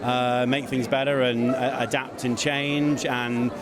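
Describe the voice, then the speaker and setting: A man's voice: mid-range voice, level tone, slow. A man being interviewed as an event producer, effusive and a bit hesitant, in casual chat at a busy venue. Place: theatre foyer before an event. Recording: crowd noise at busy venue. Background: crowd chatter.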